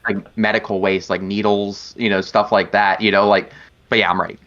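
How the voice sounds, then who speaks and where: A man's voice: fairly low pitch, little pitch movement, moderate pace, fairly bright tone, muffled recording. A man co-hosting a news show, earnest and repeating words as he builds a point. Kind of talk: casual news commentary.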